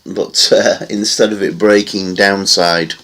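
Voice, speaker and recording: A man's voice: low voice, level tone, takes it slowly, gravelly, a bit bright. A man giving casual, rambling commentary on silver price charts, with many fillers. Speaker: market analysis vlogger.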